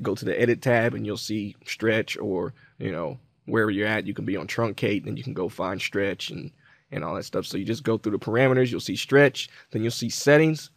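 A man presenting a software tutorial in an informal, conversational way, explaining things step by step. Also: on the low side, dry recording, studio quality. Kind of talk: casual software tutorial walkthrough.

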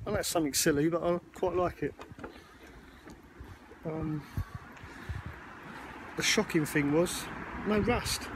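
A plain-spoken man talks casually into a handheld camera mic while walking around vans outside. His voice sits a little high for a man, with a faint hiss of background noise underneath. He rambles along with plenty of fillers. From United Kingdom. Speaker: vlogger. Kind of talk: casual walkaround vlog.

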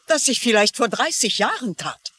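An actress playing a defensive, evasive middle-aged former secretary in a German-dubbed TV crime drama, guarded in manner and husky. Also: female voice, on the high side, lively intonation, moderate pace, pushed and bright, exaggerated voice.

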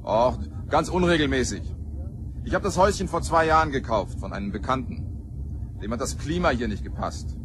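Actor as chatty husband, lively delivery, noisy car. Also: male voice, mid-range voice, moderate pace, lightly acted. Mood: wistful, casual. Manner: colloquial, hesitant, rambling. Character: chatty widowed husband, disdainful of his brother. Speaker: actor in a crime radio play. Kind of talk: naturalistic radio drama dialogue. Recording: clear vehicle noise behind.

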